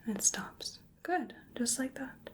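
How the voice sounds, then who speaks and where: A woman in her twenties speaking in a gentle, breathy whisper, carefully giving instructions in a soft-spoken ASMR medical roleplay. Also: sits high, lively intonation, a little slow. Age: 20s. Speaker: ASMR performer playing a hearing examiner. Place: small indoor room. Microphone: close microphone, just off camera.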